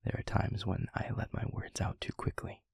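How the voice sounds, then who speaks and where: A man playing a gentle, thoughtful demon mentor in an intimate audio roleplay, speaking softly in a breathy, half-whispered voice with measured, hesitant pauses. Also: no room sound, natural voice. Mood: reflective, earnest.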